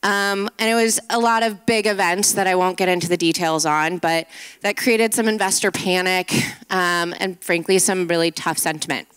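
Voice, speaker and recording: A woman moderating a panel on a conference stage. Her voice sits a little low and comes across smooth and clean. She talks fluently and upbeat, setting up the conversation. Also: fairly even intonation, moderate pace, fairly bright tone. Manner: fluent, upbeat, framing remarks.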